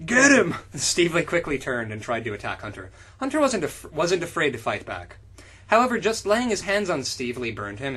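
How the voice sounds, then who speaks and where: A man's voice: fairly high pitch, a bit bright, breathy edge. A man doing a casual comic reading of fanfiction as host, breaking off into incredulous, profane banter.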